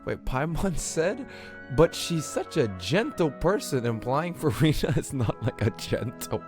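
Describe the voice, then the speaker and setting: A man's voice: fairly high pitch, big pitch swings, unhurried, over music. A man streaming a game with casual, slangy, joking live reactions.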